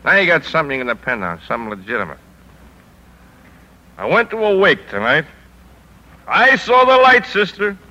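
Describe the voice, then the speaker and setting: An actor playing a middle-aged, hard-driving, cynical newspaper editor in a 1950s radio drama, with a punchy, world-weary delivery. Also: male voice, a little high, very animated, normal speed, pauses often, pushed and bright, heavily acted, low-quality recording. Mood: drunk, agitated.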